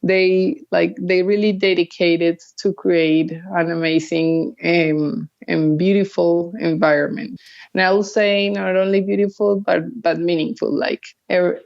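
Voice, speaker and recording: Woman, Colombian accent, low voice, flat even delivery, hesitant, many pauses. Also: vocal fry, muffled recording. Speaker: guest artist. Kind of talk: casual interview chat.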